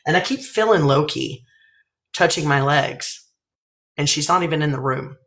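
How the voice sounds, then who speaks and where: A woman's voice: low voice, moderate pace, a bit bright. A woman from Texas with a Texan accent, hosting a live chat, teasing and swearing between laughs. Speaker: livestream host.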